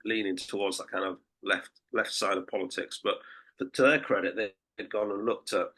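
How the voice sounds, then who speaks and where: A man co-hosting a podcast in casual chat, croaky from a late night, rambling with restarts and fillers. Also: fairly low pitch, steady tone, brisk, bright, forceful tone. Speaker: podcast co-host and political campaigner.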